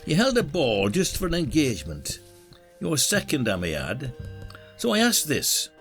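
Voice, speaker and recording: An actor playing a blunt, shrewd British police detective in an audio drama, with a colloquial, needling delivery. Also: male voice, on the high side, wildly swooping pitch, moderate pace, a bit bright, soft background music, heavily acted. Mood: indignant. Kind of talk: radio drama acting.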